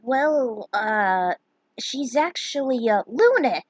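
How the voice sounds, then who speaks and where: A woman plays a bashful young hero in a story, earnest and unsure of his words. He speaks slowly in a slightly breathy, husky voice. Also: a little high, expressive, no room sound. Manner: hesitant, earnest.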